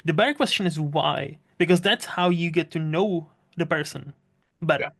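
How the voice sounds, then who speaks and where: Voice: mid-range voice, moderate pace, a bit bright. A podcast host, not originally from Scotland, chatting casually and often laughing, with many "like"s. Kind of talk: casual conversation.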